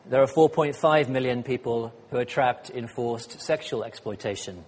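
A slightly hoarse man gives a sermon as guest speaker, chatty and self-deprecating, with frequent ums and uhs. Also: mid-range voice, moderate pace, darkish tone. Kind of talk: sermon with light humor. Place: church hall. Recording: church hall reverb.

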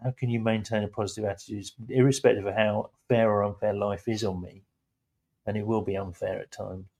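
A man hosting a relaxed faith-based chat, talking reflectively with gentle emphasis. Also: fairly low pitch, a little fast, gravelly.

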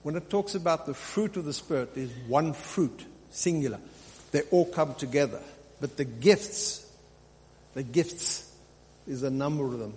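A man's voice: a little high, a little slow, a little mellow. Male pastor preaching in a reverberant hall. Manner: exhorting, repeats phrases for emphasis. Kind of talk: sermon, extemporaneous teaching. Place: large reverberant room, live preaching. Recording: hall reverb, faint hum and noise.

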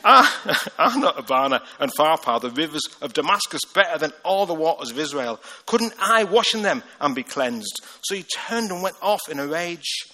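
A man with a Liverpool accent preaching a lively, joking sermon and reading scripture with acted voices. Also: on the high side, normal speed, hardly any pauses. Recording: long hall reverb.

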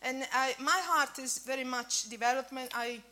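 Bright-voiced Italian woman preaching. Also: sits high, fairly even intonation. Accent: Italian with a bit of Scottish. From Italy. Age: 50s. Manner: warm, halting, many restarts and fillers. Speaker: speaker at a church breakfast. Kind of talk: informal sermon.

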